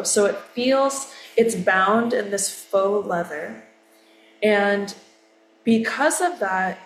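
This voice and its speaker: A woman presenting her creative project, explaining it in a thoughtful way. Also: mid-range voice. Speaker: artist presenting her work. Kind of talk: artist talk. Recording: echoey room.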